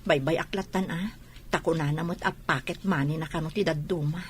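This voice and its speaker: A woman's voice: sits low, steady tone, fairly quick, a little hiss or hum, close to an everyday voice. A woman playing a hurt, suspicious wife and patient mother in an Ilocano audio drama. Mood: troubled.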